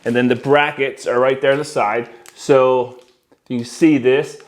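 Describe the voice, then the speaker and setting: A middle-aged American man talks to the camera in a casual, explanatory way. His voice sits at an ordinary male pitch, and he presents like a hands-on installer walking viewers through a piece of gear. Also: fairly even intonation, a little slow. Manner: conversational, explanatory, addresses viewers as guys. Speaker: audio-video installer presenting products. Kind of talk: casual product walkthrough to camera. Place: indoor room with decorated wall, at a worktable.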